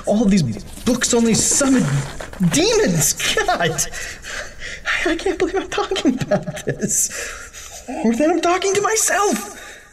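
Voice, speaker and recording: An actor playing a stressed, secretive city architect in an audio horror drama, stammering and nervous. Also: male voice, extremely high pitch, very animated, slow, slight background noise, heavily acted. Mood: uneasy.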